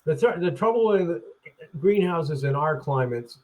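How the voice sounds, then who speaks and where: A man speaking as a guest over a webcam video call, rambling and halting, clearing his throat and restarting his sentences. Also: fairly high pitch, lively intonation, darkish tone, poor audio. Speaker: plant grower, interview guest. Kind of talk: casual interview chat.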